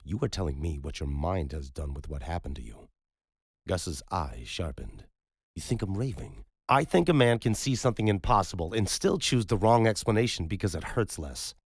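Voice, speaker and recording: A man voicing a brisk male trauma doctor in an audiobook, speaking in terse clinical orders. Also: deep voice, animated, slightly rough, no echo, clean studio sound, voice pushed up, full character voice. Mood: stern.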